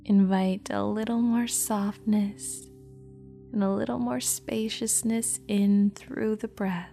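Woman, meditation guide, half-whispered, mellow, faint music behind. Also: mid-range voice, steady tone, vocal fry. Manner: soft, slow, half-whispered guidance.

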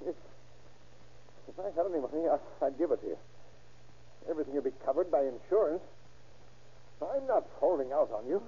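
A man's voice: a little high, expressive, a little fast, pauses often, slightly rough. An actor playing an anxious, pleading middle-aged family man held hostage in a 1930s radio crime drama, speaking hesitantly and earnestly. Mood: desperate pleading. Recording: dull, lo-fi old radio recording.

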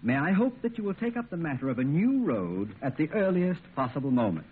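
An actor playing a smug, unflappable lawyer and family friend in a 1950s radio comedy, wry and teasing. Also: male voice, fairly high pitch, sing-song, a little fast. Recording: tinny, noisy 1950s radio recording.

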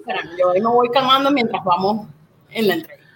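A woman's voice: fairly high pitch, fast, a crowd in the background, poor audio. An extroverted, chatty woman with a Venezuelan accent, talking casually as an interview guest from home. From Puerto Cabello, Venezuela. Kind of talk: casual chat. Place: at home, remote connection.

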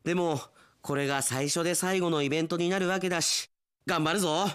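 A man playing an earnest, sentimental young railway station worker in a theatrical, expressive radio drama style. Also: a little high, fairly quick, clear, resonant tone, lightly acted. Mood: resolved.

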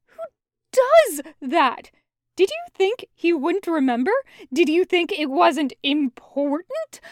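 A woman voicing a furious, hurt woman confronting a friend, with forceful, sweary, accusing outbursts in an audiobook. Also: very high voice, sing-song, slow, dry recording, voice pushed up, heavily acted. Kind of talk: audiobook character acting.

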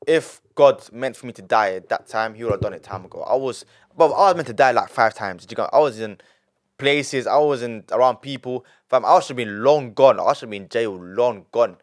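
A nineteen-year-old man, a podcast guest telling his story in an animated way, full of repetition and street slang. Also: mid-range voice, brisk. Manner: animated, repeats himself, heavy street slang. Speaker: young entrepreneur guest.

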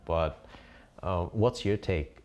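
A man hosting a casual interview, asking relaxed, hesitant questions with ums and small laughs. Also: low-pitched, takes it slowly, highs cut off. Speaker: interviewer host.

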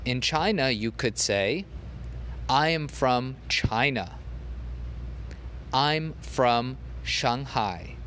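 A man teaching a podcast language lesson, repeating example phrases slowly for learners. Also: medium pitch, animated, a bit bright, slight background noise.

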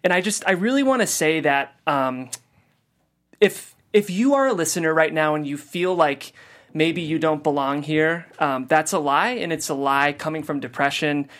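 A man's voice: on the high side, moderate pace, fairly bright tone. A man hosting a podcast talk after a script reading, speaking earnestly and with many fillers. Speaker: podcast host. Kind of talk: casual discussion after a table read.

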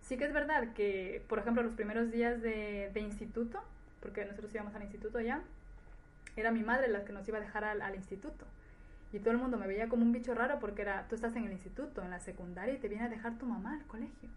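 Podcast guest: female, slightly high-pitched, Ecuadorian accent with Spanish influence, conversational personal storytelling. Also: quick pace, a little hiss or hum. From Ecuador, later grew up in Spain. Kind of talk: casual conversation, personal recollection.

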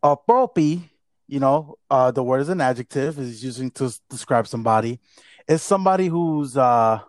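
A man's voice: mid-range voice, normal speed, no echo. A man co-hosting a casual podcast, joking and rambling as he switches between English and Spanish. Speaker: podcast co-host. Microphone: not his regular mic.

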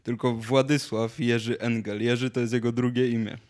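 Man, podcast co-host, mid-pitched voice, casual chat, hesitant and rambling, jokey. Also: little pitch movement, normal speed, darkish tone. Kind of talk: casual chat, telling anecdotes.

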